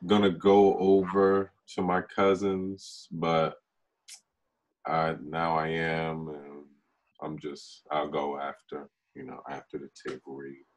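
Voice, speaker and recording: A man's voice: rumbling low, completely flat delivery, slow. A young man chatting casually with friends on a video call. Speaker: actor at a table read. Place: dim room indoors, on a video call.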